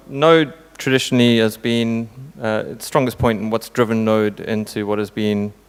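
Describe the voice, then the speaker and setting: A man's voice: mid-range voice, smooth. A man moderating a conference panel in a casual, joking, informal way. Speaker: panel moderator, tech company CTO. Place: indoor conference hall. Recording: hall reverb from conference room sound system.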